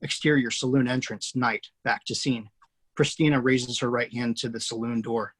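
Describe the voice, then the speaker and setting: A man reading the stage directions aloud in a plain, steady way as the screenwriter hosting a table read over a video call. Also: mid-range voice, normal speed, breathy edge. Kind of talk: reading stage directions, then casual chat. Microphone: video call mic.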